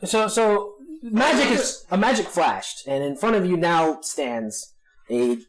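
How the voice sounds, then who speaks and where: A male game master explains things to players at the table in an informal, halting manner. His pitch is ordinary, and he feels his way through the narration in conversational bursts. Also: expressive, low-quality recording.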